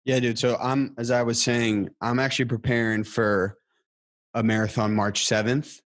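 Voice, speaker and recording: A man's voice: mid-range voice, little pitch movement, moderate pace, fairly bright tone, no room sound. A man interviewing a guest in a casual, bro-ish podcast chat.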